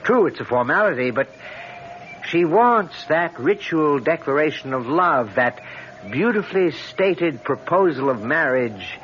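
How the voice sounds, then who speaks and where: An actor playing a wry, urbane friend and rival, speaking in a measured, ironic and insinuating way in a radio drama. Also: male voice, fairly high pitch, expressive. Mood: wry needling. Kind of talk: old-time radio drama acting. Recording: muffled old radio recording, noticeable hiss.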